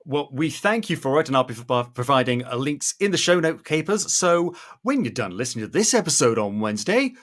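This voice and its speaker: Lively, bright-voiced male podcast host. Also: medium pitch, a little fast, few pauses. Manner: enthusiastic, playful. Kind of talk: upbeat podcast hosting.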